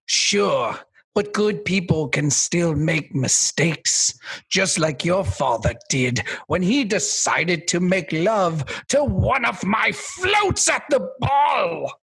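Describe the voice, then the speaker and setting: An actor playing a gloating, theatrical villain king in a radio comedy, taunting and bombastic, with a raspy, creaky voice. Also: sits high, animated, slow, a bit bright, exaggerated voice.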